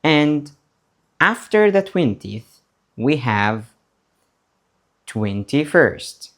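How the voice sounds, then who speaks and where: A male teacher drills beginners word by word. He speaks very slowly, leaving long pauses, and his pitch rises and falls with lively, encouraging swings. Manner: slow, deliberate, repeats words for learners to copy. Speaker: English teacher. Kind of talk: slow beginner English lesson, drilling words.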